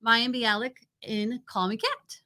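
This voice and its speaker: A woman's voice: on the high side, steady tone, takes it slowly, sharp tone. A woman co-hosting a TV review show, chatting casually and unscripted in an opinionated, emphatic way. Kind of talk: casual unscripted chat, presenting a ranked list.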